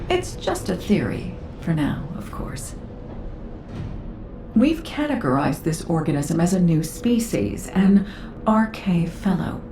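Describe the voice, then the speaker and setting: A composed female scientist from a video game, speaks in a measured, clinical way with a slightly low voice. The sound is echoey, with clear noise behind her. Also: warm tone. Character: composed female research scientist briefing an operative. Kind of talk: video game story dialogue, expository briefing.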